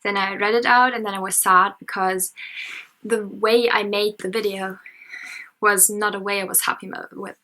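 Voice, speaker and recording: German girl, nineteen, talking off the cuff at her parents' place at night, lots of ums, bright voice. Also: mid-range voice, level tone, moderate pace, rough recording. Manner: self-conscious, chatty, many fillers and restarts. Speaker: history and sociology student. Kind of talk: unscripted casual vlog commentary.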